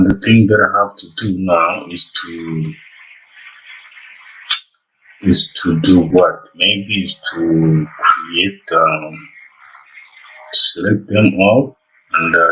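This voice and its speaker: A man walks through a software tutorial. His voice is low and bright, and he speaks slowly and haltingly. The microphone sounds a little distant. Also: steady tone, lo-fi sound, slight background noise. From Rwanda. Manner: halting, hesitant, pausing mid-sentence. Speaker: tutorial presenter. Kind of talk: casual software tutorial narration.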